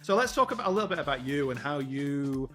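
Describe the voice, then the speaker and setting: A man's voice: on the high side, very animated, quick pace, a bit bright, faint music behind. A man hosting a conversational interview, reflective and probing. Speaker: interviewer, host. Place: remote video call.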